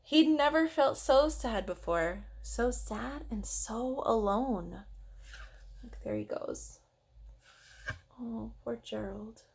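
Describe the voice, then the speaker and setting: A woman tells a children's story in a fairly high voice. She takes it very slowly, reads expressively and breaks off to put questions to the young listeners. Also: lively intonation, husky. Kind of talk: read-aloud picture book narration with asides to children.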